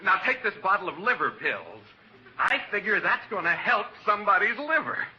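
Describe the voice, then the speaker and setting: A man's voice: high-pitched, big pitch swings, normal speed, bright, forceful tone, exaggerated voice. An actor playing an effusive, melodramatic, dim delivery man in a 1940s radio comedy. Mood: eager, sunny. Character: gushingly happy, dim drugstore delivery man. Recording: muffled, noisy old radio recording.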